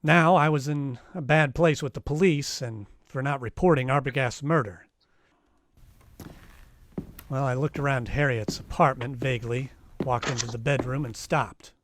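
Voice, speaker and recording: A man's voice: medium pitch, normal speed, a bit bright, slight background noise, natural voice. A man playing an unflappable, wisecracking private detective who also narrates in a first-person noir radio style. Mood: wry narration. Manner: dry, wisecracking.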